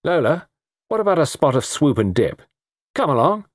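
A man voicing a brash, petulant young Englishman, loud, sarcastic and bantering, in an audiobook story. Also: lowish voice, big pitch swings, slow, no room sound, clean studio sound, full character voice. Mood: breezy.

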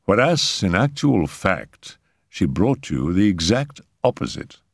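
Man acting an earnest, coaxing gentleman, animated pitch. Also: unhurried, slightly rough, no echo, studio quality. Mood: cool, reproachful. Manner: earnest, persuasive, placating. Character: enthusiastic, coaxing Frenchman, persuasive lover.

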